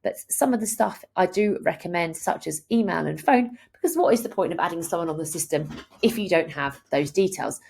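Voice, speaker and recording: A woman in her thirties giving an explanatory, step-by-step software walkthrough as a tutorial presenter. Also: lowish voice, expressive, brisk, gravelly, slightly rough. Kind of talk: instructional screen-share walkthrough.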